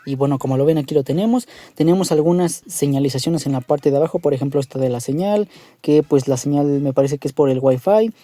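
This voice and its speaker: A man's voice: on the high side, fast, warm tone, dry recording. A man narrating a casual app tutorial in an informal, meandering way, often checking in with the listener. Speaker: tutorial host.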